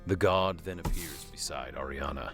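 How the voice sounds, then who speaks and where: An actor playing a solemn storyteller, narrating a scripted fantasy audio drama. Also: male voice, extremely low pitch, normal speed, a bit bright, full character voice. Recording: music bed under the voice.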